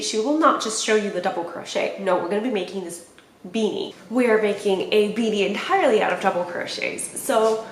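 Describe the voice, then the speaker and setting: A woman's voice: medium pitch, reverberant, poor audio. Chatty Puerto Rican woman, native Spanish speaker. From Puerto Rico. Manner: chatty, rambling, self-correcting. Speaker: podcast host. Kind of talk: casual chat to camera. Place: small indoor room with plain walls.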